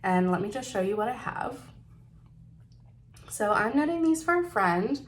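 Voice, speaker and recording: A woman's voice: mid-range voice, expressive, moderate pace. A woman hosting a knitting video podcast, chatting casually about her projects in a nasal voice because she is getting over a cold, upbeat with some fillers and slips.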